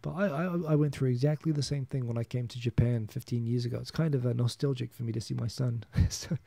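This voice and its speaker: Man, new zealand accent, gravelly voice, mellow dark tone, dry close sound, rambling live-stream host. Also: medium pitch, steady tone, quick pace, dry recording. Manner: rambling, chuckling, frequent restarts. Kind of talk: casual solo live-stream chat reading viewer comments.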